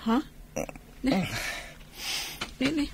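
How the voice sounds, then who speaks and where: An actress playing a whiny, defiant and emotional young runaway daughter in a radio drama. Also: female voice, very slow, noisy background. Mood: worried. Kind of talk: Filipino radio drama acting. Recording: music behind the voice.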